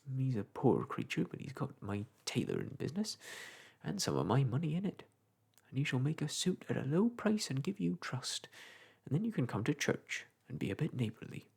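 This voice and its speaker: Man doing a garrulous old village clerk, gravelly, husky. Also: mid-range voice, sing-song, moderate pace, darkish tone, heavily acted. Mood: advising. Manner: rambling, folksy, lecturing.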